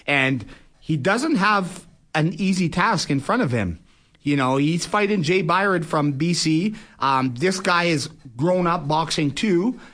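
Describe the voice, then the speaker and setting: A man's voice: a little high, animated, a little slow, a bit bright. Casual man in his forties. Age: early forties. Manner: colloquial, frequent "you know". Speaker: boxer and fight promoter, interview guest. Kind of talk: casual radio interview.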